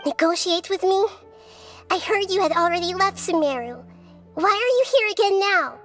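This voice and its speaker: A woman voicing a small, gentle young girl deity in a video game, earnest, hesitant and vulnerable. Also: extremely high pitch, moderate pace, soft background music. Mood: alarmed. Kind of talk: English video game voice acting.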